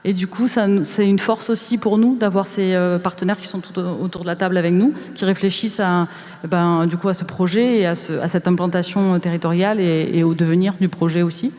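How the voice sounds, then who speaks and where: A woman's voice: lowish voice, fairly even intonation, fairly quick, a little mellow. A woman giving spontaneous panel testimony, hesitant and often searching for words. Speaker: panelist from a cultural organisation. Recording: reverberant hall sound.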